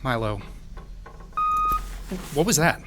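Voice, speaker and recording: A man's voice: medium pitch, expressive, slow. An actor playing a sceptical, exasperated young man in an audio drama, sarcastic and agitated. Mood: wary.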